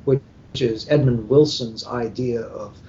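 An older American man speaks as a panel guest, deliberate and professorial, often pausing mid-thought. His mid-pitched voice comes over a muffled internet call with a faint rumble underneath. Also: steady tone, warm tone. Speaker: book editor, panel guest. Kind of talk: discursive panel conversation. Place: hotel room at a convention, internet call.

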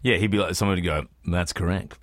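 Australian male podcast host, lowish voice, blunt sarcastic banter, very dry recording. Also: fast, dry recording. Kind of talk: casual banter.

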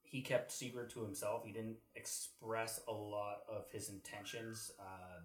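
A man's voice: fairly low pitch, little pitch movement, unhurried. A man discussing history casually as a podcast panelist, recorded in a room. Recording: echoey room.

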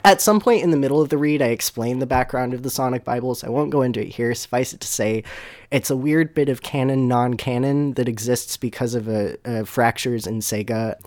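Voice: mid-range voice, fairly quick, a little mellow. A podcast host talking casually and reading a story aloud as a dramatic reading.

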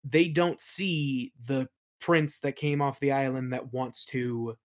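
A man's voice: mid-range voice, steady tone, slow. Man thinking aloud in a casual interview. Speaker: interview guest, audio play creator.

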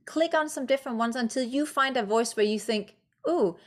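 A woman's voice: fairly high pitch, fairly quick. A woman from Denmark whose first language is Danish, a guest author and coach speaking English in a podcast interview, encouraging and reflective with frequent fillers.

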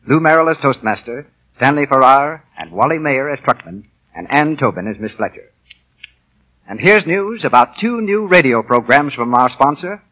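A male radio announcer of the 1940s with a bright, forceful voice and a rough, husky grain. He reads scripted copy at mid pitch on a vintage broadcast recording. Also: rough recording.

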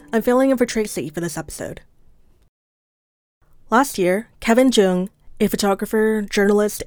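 A woman who is a producer filling in as host, reading measured scripted narration. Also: medium pitch, darkish tone, no room sound. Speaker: associate producer, stand-in host.

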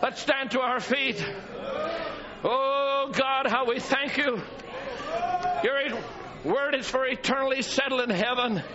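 A man preaching a fiery sermon to his church congregation, often shouting in a high, strained voice. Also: unhurried, long pauses, a crowd in the background. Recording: dull lo-fi church recording with congregation.

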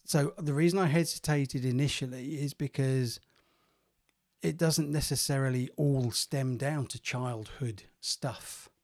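A man co-hosting a podcast, slightly croaky from a cold, talking thoughtfully with hesitations and repeated words. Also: mid-range voice, a little slow, darkish tone. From England. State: croaky, getting over a cold. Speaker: podcast co-host, therapist. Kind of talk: casual podcast chat.

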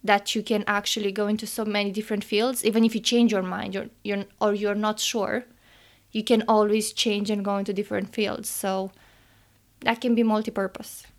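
A woman's voice: medium pitch, level tone, moderate pace. A young woman from Romania with a Romanian accent, a podcast guest answering questions earnestly, with some non-native phrasing and easy laughter. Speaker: podcast guest, engineering student. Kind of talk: casual interview answers.

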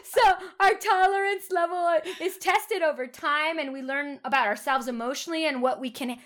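High-voiced woman therapist co-hosting a podcast. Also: moderate pace, fairly bright tone. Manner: laughs often, explains patiently. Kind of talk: casual podcast chat.

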